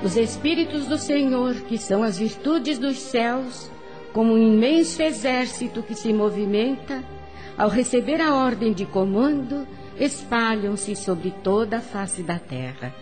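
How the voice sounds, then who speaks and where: A woman's voice: fairly high pitch, animated, measured pace. A woman reading radio drama narration in a solemn, declamatory way. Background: music.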